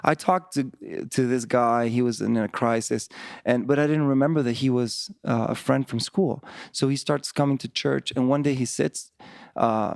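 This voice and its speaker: A middle-aged man with a Chilean accent speaks English as a second language, his voice at an ordinary pitch with a gravelly fry running through it. He tells a personal story haltingly, full of fillers and restarts. The sound is close and dry, with no room echo. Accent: Chilean Spanish. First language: Spanish. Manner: halting, many fillers and restarts, frequent laughs. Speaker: worship pastor, interview guest.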